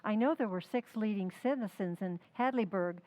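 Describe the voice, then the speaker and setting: A woman's voice: medium pitch, fairly quick, dark tone, close to an everyday voice, low-quality recording. An actress playing an inquisitive, no-nonsense woman author, questioning precisely in an old-time radio drama.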